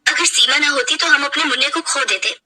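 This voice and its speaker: A woman's voice: high-pitched, fairly quick, noisy background, pitched above the performer's own voice, lightly acted. A woman voicing a conscientious, reproachful bandit's wife in a dramatic story. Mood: earnest urging.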